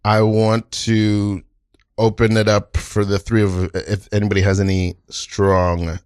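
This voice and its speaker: A man giving casual, encouraging coaching as the host of an improv class podcast, speaking in a halting way. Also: lowish voice, flat delivery, a little mellow, clean tone, no echo, studio quality. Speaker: improv teacher and show host.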